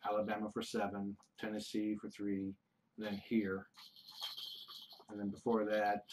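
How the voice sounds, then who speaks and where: Halting middle-aged man chatting casually. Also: fairly low pitch, background noise. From United States. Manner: rambling, halting, with frequent restarts and fillers. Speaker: kitten foster livestreamer. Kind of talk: casual livestream Q&A, reading out viewer questions.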